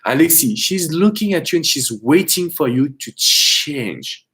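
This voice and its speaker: A man's voice: a little high, animated, a little slow, pushed and bright. A man with a strong French accent, a relationship coach giving advice on a live stream and greeting viewers by name.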